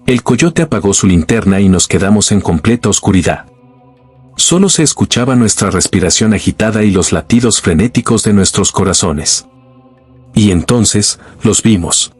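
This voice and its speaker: Husky low-voiced man narrating horror. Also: animated, normal speed, faint music behind. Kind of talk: scripted first-person horror narration.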